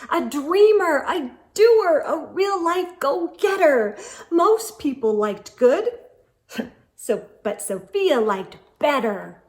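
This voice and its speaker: A woman storytime host reading a picture book aloud to children, expressive and emphatic, speaking directly to young listeners. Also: high-pitched, takes it slowly.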